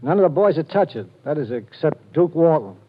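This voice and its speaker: An actor playing a nervous, streetwise sidekick in a 1940s radio drama, talking tough street slang. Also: male voice, on the high side, lively intonation, fairly quick, slightly rough. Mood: worried. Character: streetwise male sidekick, a jumpy small-time informant. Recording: dull lo-fi old radio broadcast.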